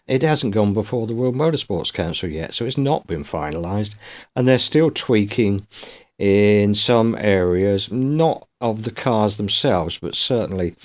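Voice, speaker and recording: Low-voiced man, measured. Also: darkish tone. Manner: measured, informative. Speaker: podcast co-host. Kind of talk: casual motorsport chat. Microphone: video call.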